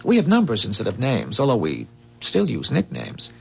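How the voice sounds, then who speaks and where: A dull, muffled old radio drama recording of a calm, solemn man from a doomed future. His husky, creaky voice explains things in measured phrases, with pitch that moves widely for emphasis. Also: exaggerated voice. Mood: calm, assured. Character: calm, earnest male visitor from a dying future. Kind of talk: 1970s radio drama acting.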